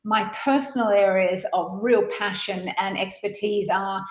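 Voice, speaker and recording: A woman's voice: medium pitch, steady tone, unhurried. A middle-aged Australian woman speaking as a panelist in a webinar, fluent but with frequent fillers and repeated words. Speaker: advisory leader, webinar panelist. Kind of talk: webinar presentation. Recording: muffled audio, roomy echo.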